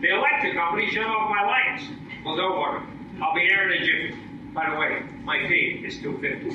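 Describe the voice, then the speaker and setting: An actor playing a glib, lecherous comic detective who wisecracks deadpan in the style of an old-time radio comedy. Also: male voice, high voice, a little fast. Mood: wisecracking. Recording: noisy, dull, very echoey live stage, distant.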